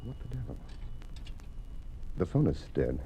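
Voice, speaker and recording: Earnest senator, crackly old radio. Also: male voice, low voice, big pitch swings, unhurried. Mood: controlled, wary. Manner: articulate, formal, earnest. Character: indignant senator, steady leader of opposition farm party. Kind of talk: 1950s radio drama acting. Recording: noisy old 1950s radio recording with clicks.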